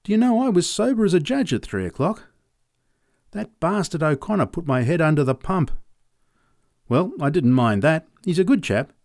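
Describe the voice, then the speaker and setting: Gruff farmer, blunt and boastful, swears freely, voice lifted a little as a male narrator puts him on, dry close sound. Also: on the high side, big pitch swings, moderate pace, darkish tone, dry recording, pitched above the performer's own voice. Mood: grumbling. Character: rough, hard-drinking adult male farmer, blunt and boastful.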